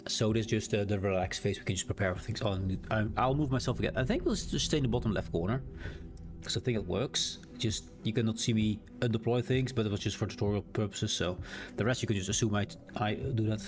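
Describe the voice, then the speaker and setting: A man's voice: fairly low pitch, fast talker. A Dutch man with a Dutch accent, whose first language is Dutch, streaming a game live, chatting casually and reading the game's text aloud as he plays. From Netherlands. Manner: chatty, reads game text aloud, thinks out loud with restarts. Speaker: gaming streamer. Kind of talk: live gameplay commentary.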